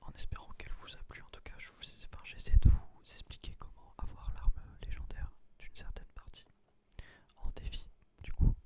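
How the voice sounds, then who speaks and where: A man hosting a gaming video in a hushed, breathy whisper, ASMR style, close on a binaural microphone.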